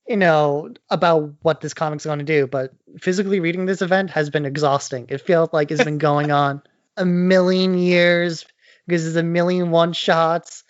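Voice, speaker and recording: A man's voice: on the high side, fairly even intonation, normal speed, clean tone, no echo, muffled recording. A man co-hosting a podcast in casual, opinionated chat, blunt and prone to swearing. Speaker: podcast co-host.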